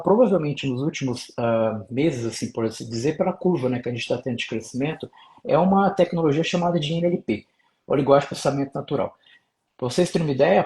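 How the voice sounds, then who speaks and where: Guy professor talking quick, kinda high voice, explaining stuff to a panel. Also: a little mellow. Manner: fast, explanatory, asks colleague questions. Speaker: researcher and professor, host. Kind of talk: didactic panel talk.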